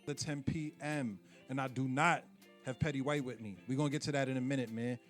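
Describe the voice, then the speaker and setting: A man's voice: mid-range voice, fairly even intonation, fairly quick, soft background music. A man hosting a casual radio interview, laid-back and slangy with lots of fillers. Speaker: radio show host.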